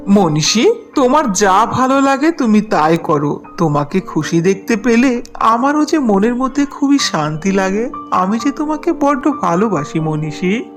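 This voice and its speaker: A woman voicing a gentle, loving fisherman husband in a cartoon folk tale, tender and earnest. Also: mid-range voice, very animated, a little slow, soft background music.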